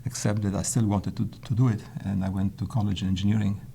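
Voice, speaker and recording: A man's voice: lowish voice, little pitch movement, quick pace, vocal fry, dark tone, slight background noise. An older man from Poland, whose first language is Polish, recalling his early life in a hesitant interview manner with many restarts. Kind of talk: reflective interview answers.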